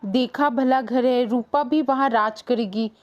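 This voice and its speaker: A woman's voice: fairly high pitch, a little slow, highs cut off, close to an everyday voice. A woman voicing a female character in a cartoon horror family drama. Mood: coaxing.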